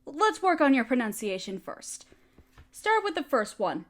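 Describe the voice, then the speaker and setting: A woman playing a motherly spider queen in a roleplay audio, speaking tenderly and patiently with gentle teasing and formal wording. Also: high voice, sing-song, unhurried. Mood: gentle teaching. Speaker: mother figure teaching a language. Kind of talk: roleplay audio, softly acted monologue.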